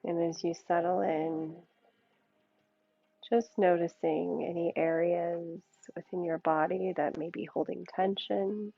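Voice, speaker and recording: A woman yoga teacher leading a guided meditation in a soothing, unhurried way, with gentle pauses between short phrases. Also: fairly low pitch, fairly even intonation, gravelly, no echo, muffled recording.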